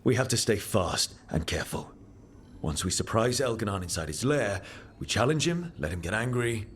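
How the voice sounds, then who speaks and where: A man playing a calm, wry hero who leads a party in a video game cutscene, talking encouragingly. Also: lowish voice, normal speed, slight background noise. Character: steady male hero leading a party. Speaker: player character.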